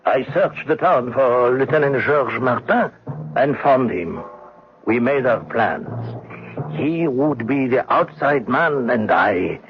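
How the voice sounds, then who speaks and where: An actor playing a soldier who recounts his own prison-escape exploits in an old-time radio drama. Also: medium pitch. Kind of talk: first-person radio drama narration. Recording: dull old radio recording with music.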